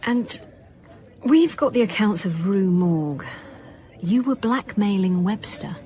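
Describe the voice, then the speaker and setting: A woman's voice: medium pitch, expressive, dark tone. Shrewd, composed woman police inspector. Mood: shrewd, pressing. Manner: probing, dry, deliberate questioning. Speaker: actor. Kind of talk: radio drama acting.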